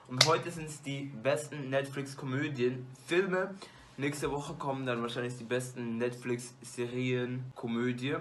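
A teenage boy talks straight to his desk webcam in a small bedroom glowing with colored lights. His voice is bright and mid-pitched, and he rambles chattily, stumbling through fillers and restarts. A faint hiss of room noise sits underneath. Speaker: YouTuber. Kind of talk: casual movie-ranking vlog.

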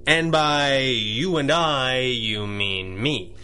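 An actor playing a resolute, wry masked superhero with crisp authority in a radio drama. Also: male voice, medium pitch, extremely slow, pushed and bright, faint music behind. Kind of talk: 1930s-style radio drama acting.

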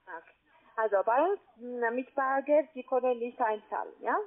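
A call-centre saleswoman with a foreign accent and a very high voice. She speaks haltingly and keeps checking in with short questions. The sound is a narrow, muffled phone line. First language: not German.

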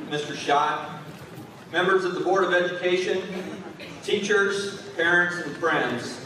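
Male official, slow and deliberate name reading, high voice, far-off echoey gym. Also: fairly bright tone, a little hiss or hum. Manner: measured, deliberate. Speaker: school official reading graduate names. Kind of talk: formal announcement and name reading. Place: large school gymnasium. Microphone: podium microphone, heard from the back of the room.

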